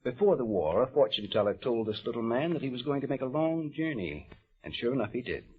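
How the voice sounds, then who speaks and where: Husky radio interviewer, male. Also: mid-range voice, very animated, quick pace. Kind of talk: old-time radio human-interest interviewing and narration. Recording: dull, muffled old radio recording.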